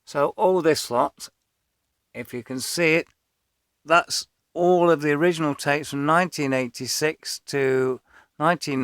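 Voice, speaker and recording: A man's voice: mid-range voice, a bit bright, smooth, no echo. A man, telling a personal story casually to camera in a hesitant, rambling way. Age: 50s. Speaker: vlogger.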